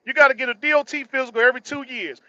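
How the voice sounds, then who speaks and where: Man, early 40s, high bright voice, slangy and emphatic vlog monologue that circles back on phrases; dry, muffled lo-fi sound. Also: very fast, no echo. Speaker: truck driver vlogger. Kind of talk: casual motivational monologue.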